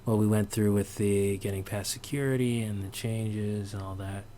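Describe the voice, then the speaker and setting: A man giving a casual, unscripted sound design tutorial, halting and thinking aloud as he works. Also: on the low side, fairly even intonation, dark tone, slight background noise. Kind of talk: casual, unscripted software walkthrough.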